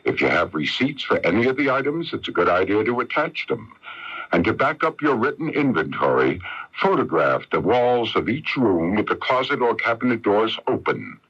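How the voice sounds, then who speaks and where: A man with a husky voice reading a scripted radio consumer tip as an announcer. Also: on the low side, sing-song, moderate pace, a bit bright. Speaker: public service announcer. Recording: dull 1980s radio broadcast.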